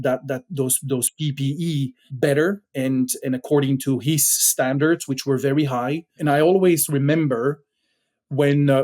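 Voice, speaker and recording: A middle-aged man from Belgium with a Belgian accent, a podcast guest telling a story in a halting way with many fillers. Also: mid-range voice, dark tone. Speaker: podcast guest, company founder.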